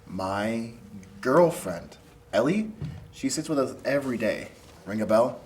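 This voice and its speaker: A young man playing an anxious college student with a sardonic streak. His medium-pitched voice moves up and down a lot, as in a drama script read aloud. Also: animated, a little slow, darkish tone, slight background noise, lightly acted. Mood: feigned nonchalance. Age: young adult. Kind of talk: table read of a pilot script.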